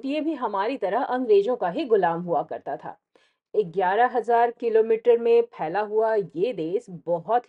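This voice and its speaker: A woman's voice: mid-range voice, a little mellow, lo-fi sound. A woman with an Indian accent reads scripted travel vlog narration, explaining things directly to the viewer.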